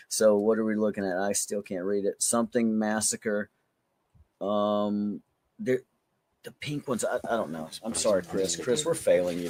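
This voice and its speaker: A man's voice: on the low side, darkish tone. A middle-aged man hosting a podcast, chatting casually about toys in an informal, joking way. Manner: jokey, informal, swearing. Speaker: podcast host.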